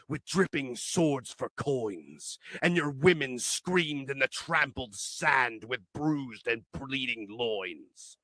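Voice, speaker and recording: A man recites verse with solemn, declamatory weight. His tone is bright and forceful, and he moves slowly through each measured phrase. The sound is dry, with very little room on it. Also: medium pitch, no echo. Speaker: poetry reader. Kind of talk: dramatic poetry recitation.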